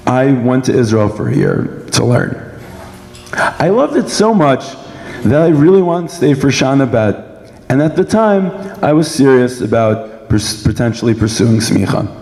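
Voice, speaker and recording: A young man from Brooklyn tells his story in a measured, rehearsed way, speaking through a sore throat. His voice is bright and mid-pitched, ringing with long reverb in a big hall. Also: vocal fry. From Brooklyn, New York, United States. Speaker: guest speaker giving a personal testimony. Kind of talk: prepared speech at a dinner event. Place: large indoor event hall.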